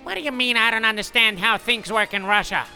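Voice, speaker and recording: A man voicing a flustered American tourist from New York with a New York accent, whiny and quick to apologize. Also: sits high, pushed and bright, faint music behind, full character voice. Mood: exasperated. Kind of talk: comedy audio sketch.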